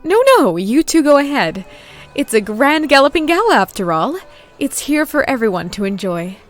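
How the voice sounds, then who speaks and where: A woman's voice: high voice, big pitch swings, moderate pace, soft background music. A woman voicing a regal, lovelorn pony princess and older sister in a scripted audio drama, wistful and theatrical, with sighs. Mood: gracious.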